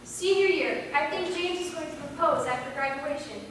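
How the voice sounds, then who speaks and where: Female stage role, acted by a woman: very high, bright voice, amateur theatre drama, heard from afar. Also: moderate pace. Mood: dreamy, excited. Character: teenage girl reading a diary aloud, and her stern, wounded aunt. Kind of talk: amateur one-act stage play acting. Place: performed on stage in a hall, recorded from far off. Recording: distant, echoey hall stage recording with noise.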